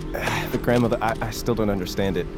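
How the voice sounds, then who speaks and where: A man's voice: fairly low pitch, lightly acted. A young man acting in an audio drama as a headstrong, vengeful grandson and warrior, speaking with earnest insistence. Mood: questioning, earnest. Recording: clearly noisy ambience behind the voice.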